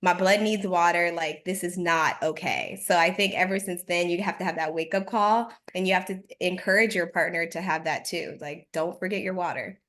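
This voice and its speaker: A woman fitness trainer speaking on a virtual panel over a video call, upbeat and telling stories at a quick clip. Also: lowish voice, fairly even intonation, a bit bright.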